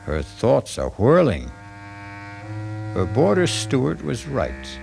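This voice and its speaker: Male vintage radio narrator: theatrical and wry, gravelly low voice over faint music. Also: huge pitch swings, slow. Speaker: host and narrator. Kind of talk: scripted old radio mystery narration.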